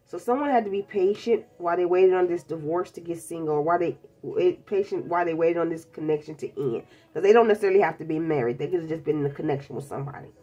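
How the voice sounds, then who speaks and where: A woman's voice: on the low side, fast, few pauses, warm tone, lo-fi sound, faint music behind. A woman with a stuffed-up cold, chatting bluntly and casually through a tarot reading, calling her viewers babies. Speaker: tarot reader. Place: indoors at a table covered in tarot decks.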